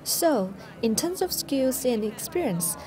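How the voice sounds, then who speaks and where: A woman's voice: high voice, big pitch swings, takes it slowly, a crowd in the background. A woman reporter reading a scripted news narration.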